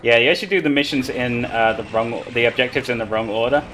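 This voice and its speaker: A man's voice: mid-range voice, fast talker, a bit bright, soft background music, rough recording. A man chatting casually and explaining his play live as he speedruns a video game. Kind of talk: live explanation while playing.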